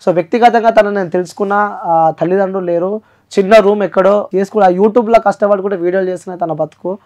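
Guy hosting an interview, telangana accent, talks really quick in a high voice, keeps explaining and repeating. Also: fairly even intonation, darkish tone. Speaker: interview host.

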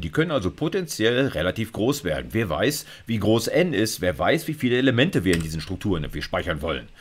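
A middle-aged man giving an informal explanatory lecture, talking conversationally with frequent little check-in tags. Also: lowish voice. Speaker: university lecturer.

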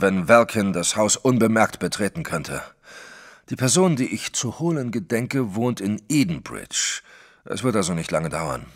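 An actor playing a wary, plain-spoken private detective in a German audio drama, firm and sceptical. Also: male voice, medium pitch.

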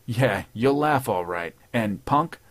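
A man's voice: medium pitch, lively intonation, slow pace, no echo. A man voicing a cocky, wisecracking male newspaper columnist with a taunting, sardonic delivery.

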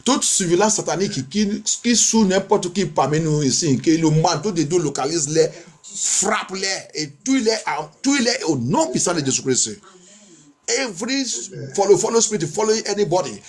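Male pastor, slightly high-pitched, for fervent prayer and preaching with insistent, chant-like repeated lines. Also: very animated, normal speed. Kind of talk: fervent bilingual prayer and sermon.

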